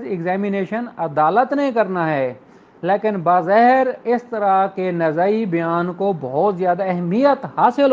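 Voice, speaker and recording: A man teaches in a didactic, step-by-step style. He slips into English phrases, which he reads out with deliberate care. The sound is somewhat dull and lo-fi. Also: high voice. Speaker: law lecturer. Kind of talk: explanatory law lecture with examples, code-switching into English.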